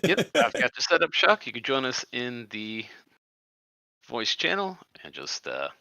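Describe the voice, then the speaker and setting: A man's voice: mid-range voice, wildly swooping pitch, a little slow, pushed and bright, dry recording. A man from the Netherlands with an American-sounding accent, bantering casually as a livestream co-host over voice chat.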